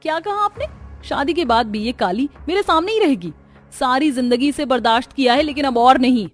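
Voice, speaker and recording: Woman voicing a snappish, haughty young sister, high-pitched. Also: animated, brisk, soft background music. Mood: angry. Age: young adult. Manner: sneering, snappish, scolding. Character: haughty, spiteful fair-skinned young sister. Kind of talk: Hindi cartoon story acting.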